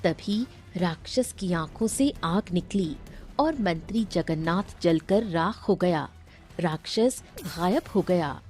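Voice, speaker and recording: A woman's voice: lowish voice, lively intonation, takes it slowly, darkish tone, soft background music. A woman narrating a scripted story as its storyteller.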